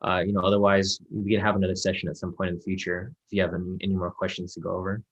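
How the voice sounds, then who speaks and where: A man mentoring a student over a video call in a relaxed, reassuring way with many fillers. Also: deep voice, very fast, dull audio. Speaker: trading mentor. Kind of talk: casual one-on-one mentoring call.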